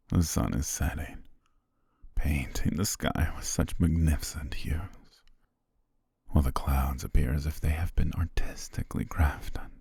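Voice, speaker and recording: A man playing a deep-voiced, possessive butler groom. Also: vocal fry, breathy edge, no room sound, studio quality, heavily acted. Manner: soft, formal, half-whispered delivery. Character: devoted male butler wedding his princess, quietly controlling. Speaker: ASMR roleplay voice actor. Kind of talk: intimate ASMR roleplay monologue.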